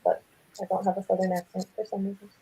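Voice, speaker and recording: A young woman, a postgraduate student, answering in a thoughtful, measured way. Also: medium pitch, flat delivery, warm tone, slightly rough. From United States.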